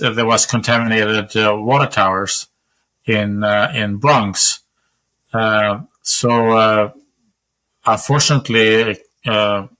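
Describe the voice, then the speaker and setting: A Swedish-accented man in his sixties answers questions over a webcam, explaining things in a measured, steady way. His voice has a bright, forward edge to it. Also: mid-range voice, low-quality recording. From Sweden. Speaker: guest, epidemiologist. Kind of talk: interview answers over video call. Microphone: webcam on video call.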